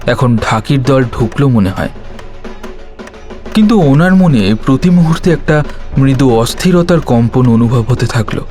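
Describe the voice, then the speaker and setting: A man's voice: medium pitch, lively intonation, measured pace, a little mellow, soft background music. A man narrating a scripted horror story in a dramatic, suspenseful way.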